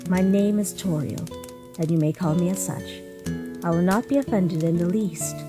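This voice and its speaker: A woman voicing a gentle, motherly monster queen in a comic dub, speaking with formal, measured politeness. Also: on the low side, close to an everyday voice. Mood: warm, motherly. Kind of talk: comic dub character acting. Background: music.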